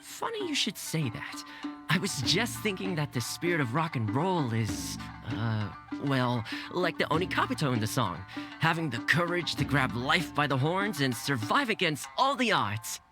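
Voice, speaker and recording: A man's voice: on the high side, sing-song, moderate pace, over music. A man voicing an easygoing, thoughtful young character in a video game, amiable and encouraging. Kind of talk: animated video game voice acting.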